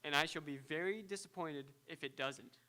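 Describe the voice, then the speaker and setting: A man playing a proud, excitable inventor doctor in old-time radio drama style, with fussy, precise technical diction. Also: on the high side, brisk, clear, resonant tone. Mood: self-important, explaining. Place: live on an auditorium stage.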